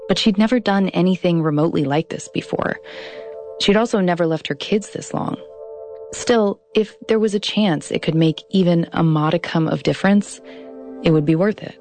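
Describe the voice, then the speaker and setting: A woman in her thirties narrates a documentary podcast in a low, steady voice, reading from a script into a studio microphone. Her delivery is measured and deliberate, easing the pace on the words she wants to land, with soft music playing underneath. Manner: measured, deliberate, slows on key phrases.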